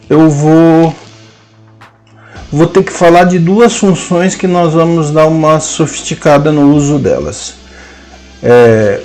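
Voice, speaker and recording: A man with a Brazilian Portuguese accent teaching a programming lesson, dictating code symbol by symbol with hesitant pauses. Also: on the high side, darkish tone. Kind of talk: slow screen-cast coding lesson, dictating code aloud.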